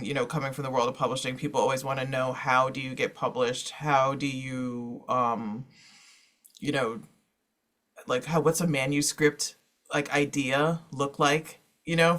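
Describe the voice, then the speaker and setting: A man speaking casually as a panelist, halting and circling around his point with many filler phrases. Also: medium pitch, a bit bright. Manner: halting, circling, full of 'you know' and 'like'.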